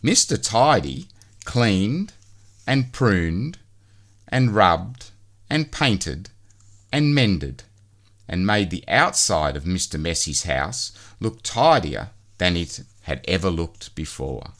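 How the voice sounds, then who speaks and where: A man reading a children's storybook aloud as narrator, in an expressive and deliberate way. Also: low-pitched.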